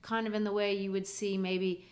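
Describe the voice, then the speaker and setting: A woman's voice: mid-range voice, little pitch movement, moderate pace. A woman, co-hosting a literary podcast and explaining things in an earnest way. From grew up in Brazil. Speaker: podcast co-host.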